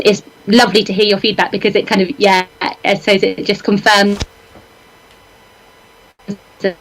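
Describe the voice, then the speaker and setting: A woman's voice: medium pitch, poor audio. A woman from an arts organisation responds on a live show in a mid-range voice. She is thoughtful and sincere, speaking with conviction as she gathers her points.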